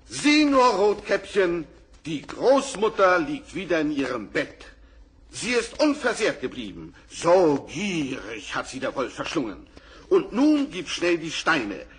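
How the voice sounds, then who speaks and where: A man's voice: fairly high pitch, wildly swooping pitch, a little slow, pitched above the performer's own voice. A man playing a bold, righteous huntsman in a German fairy-tale radio play, speaking declamatorily and scolding, on a crackly old record. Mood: reassuring. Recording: distant crackly vinyl record.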